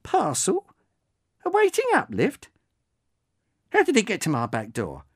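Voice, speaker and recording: A narrator voicing a cantankerous, swearing woman of seventy-four, high and indignant. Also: wildly swooping pitch, measured pace, husky, full character voice.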